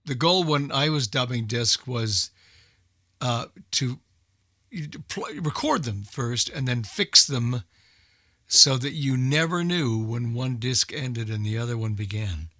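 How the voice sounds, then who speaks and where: A man's voice: mid-range voice, a little slow, bright, forceful tone, no echo, studio quality. A man chatting casually as a hobbyist of old radio recordings. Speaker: old radio hobbyist who dubs discs. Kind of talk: casual chat.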